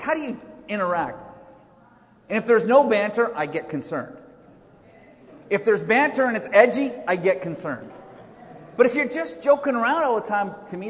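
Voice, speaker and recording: A middle-aged man preaching a sermon at a pulpit microphone, loud and conversational, joking with the congregation. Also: high-pitched. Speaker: pastor. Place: large church hall. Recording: echoey church hall.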